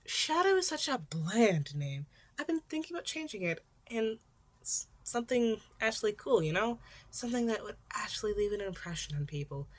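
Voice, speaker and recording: Teen boy vigilante, female reader: nervy, defiant, sarcastic, higher register, audiobook character work. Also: sing-song, moderate pace. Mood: nervous, rambling. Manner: rambling, indignant, sarcastic. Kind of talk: audiobook-style fan fiction character acting.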